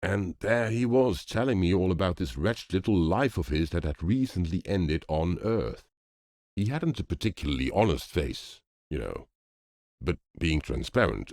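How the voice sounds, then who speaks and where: A man narrating a classic ghost story as an audiobook, in an expressive storytelling manner. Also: deep voice, moderate pace, dry recording.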